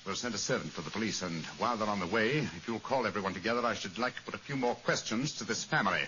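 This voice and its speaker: An actor playing a keen, commanding British detective in 1940s radio style, speaking in a crisp, deliberate, authoritative manner. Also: male voice, lowish voice, natural voice. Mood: analytical, brisk. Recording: dull, noisy old radio recording.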